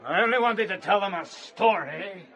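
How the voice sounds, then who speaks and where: Touched alehouse beggar, middle-aged, wheedling, raised pitch, sharp tone, radio drama. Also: male voice, expressive, moderate pace. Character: harmless middle-aged deranged drunkard begging for ale.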